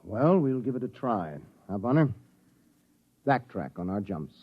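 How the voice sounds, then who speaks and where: An actor playing a terse, steady male spaceship captain in clipped, authoritative 1950s radio drama style. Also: on the low side, a little slow, breathy edge, exaggerated voice. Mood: grave. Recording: dull vintage radio recording.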